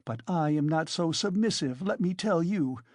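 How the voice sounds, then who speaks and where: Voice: medium pitch, very animated, measured pace, dry recording, studio quality, pitched above the performer's own voice. A reader voicing a frantic, defiant widow who shrieks and accuses in hysterical fury, as an audiobook character.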